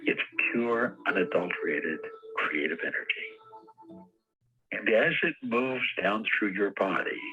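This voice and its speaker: A man's voice: on the low side, animated, a little slow, sharp tone, highs cut off, faint music behind. A man leading a guided meditation, speaking in a soothing, repetitive, hypnotic way.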